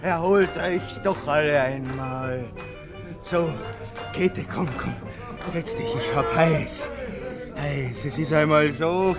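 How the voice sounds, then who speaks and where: An actor playing a haunted, agitated man in a radio drama, erratic and repeating his words defiantly. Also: on the high side. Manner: erratic, repeating words, stammering under suspicion. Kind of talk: literary radio drama acting.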